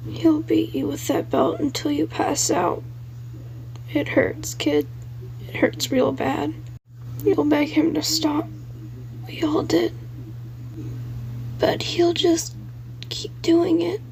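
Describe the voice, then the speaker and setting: A woman's voice: sits high, steady tone, slow, creaky, long pauses, a little hiss or hum, voice pushed up, full character voice, low-quality recording. A woman whispering as a ghostly paper boy. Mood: grim. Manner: hushed, urgent whisper.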